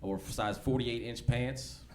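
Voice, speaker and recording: A man's voice: mid-range voice, steady tone, a little slow. A forty-year-old American man doing conversational, slangy, profane stand-up comedy in a laid-back way, live in a club. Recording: live club recording with background noise.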